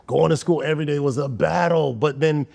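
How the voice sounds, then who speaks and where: A man's voice: a little high, a little fast, vocal fry. A man born in the United States, a podcast host telling stories in casual chat and acting out quotes. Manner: animated storyteller acting out quotes. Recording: long hall reverb.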